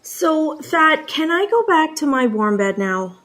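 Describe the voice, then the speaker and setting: Sarcastic lady reporter, slow and drawn out, voice going up and down. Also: sits high, big pitch swings, fairly bright tone. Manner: wry, reluctant and sarcastic. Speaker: comedy reporter. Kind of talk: scripted comedy sketch.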